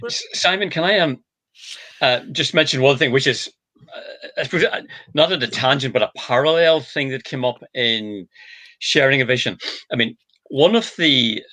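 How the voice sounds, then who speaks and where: Casting: man with an Irish accent, bright tone, measured and reflective. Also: mid-range voice, low-quality recording. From Ireland. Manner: thoughtful, explains with examples, many hesitations. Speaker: book author. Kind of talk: casual discussion.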